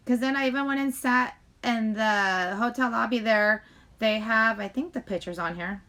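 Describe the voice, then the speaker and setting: A woman hosting a casual live chat, rambling to viewers in a halting way, often breaking off mid-sentence. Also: fairly high pitch, a bit bright. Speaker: live stream host chatting with viewers.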